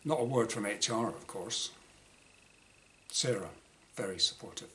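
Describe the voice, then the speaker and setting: A man reading his own first-person short story dramatically, in clipped diary-like phrases with pauses. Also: fairly low pitch, pushed and bright. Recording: clearly noisy room ambience.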